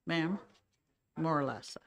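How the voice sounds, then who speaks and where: A woman chairing a legislative committee hearing, giving brief, unhurried procedural prompts. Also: low voice.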